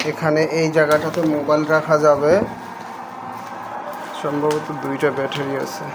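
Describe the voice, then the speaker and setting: A man with a Bangladeshi accent gives casual, unscripted vlog narration, pausing hesitantly between short phrases. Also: fairly high pitch, monotone. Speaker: vlogger unboxing a product. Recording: noisy home video audio.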